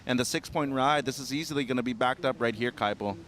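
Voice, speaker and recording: A man commentating on a surf contest and asking the surfers questions, in a brisk, analytical way. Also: medium pitch, a bit bright. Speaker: broadcast commentator.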